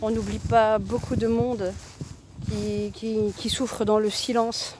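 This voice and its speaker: A woman's voice: fairly high pitch, little pitch movement, slow, background noise. A woman from France talking on an outdoor walking vlog, emphatic and repetitive, often restarting her words. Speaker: vlogger. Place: outdoors on a walk in a town.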